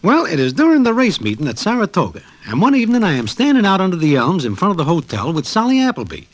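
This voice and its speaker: An actor playing a wry, streetwise Broadway storyteller who narrates in mannered present-tense slang, in 1940s radio style. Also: male voice, high voice, huge pitch swings, fast, exaggerated voice. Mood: wry, storytelling. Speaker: narrator and character.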